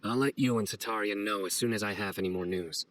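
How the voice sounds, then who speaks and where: An actor playing a stern young official in a video game, speaking in a formal, deliberate deadpan. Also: male voice, on the low side, close to an everyday voice. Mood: formal, matter-of-fact. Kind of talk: video game cutscene voice acting. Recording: faint music behind voice.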